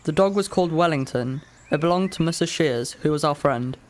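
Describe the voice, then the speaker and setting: A man's voice: fairly high pitch, a little fast, a little hiss or hum, natural voice. A man playing a precise, literal-minded fifteen-year-old boy in a radio drama. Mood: matter-of-fact. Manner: matter-of-fact, precise, literal. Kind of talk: radio drama narration and dialogue.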